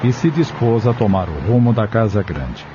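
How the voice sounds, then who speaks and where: A man's voice: lowish voice, expressive, moderate pace, noisy background. A man with a Brazilian accent reading scripted narration for an audio drama. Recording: dull audio with music underneath. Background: music.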